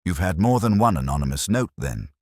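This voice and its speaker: Man acting deep-voiced, courteous, shrewd police inspector. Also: sing-song, a little fast, a little mellow, slightly rough, no echo, clean studio sound, exaggerated voice. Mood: dry, probing. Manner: courteous, understated, questioning. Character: polite, probing, shrewd male police inspector.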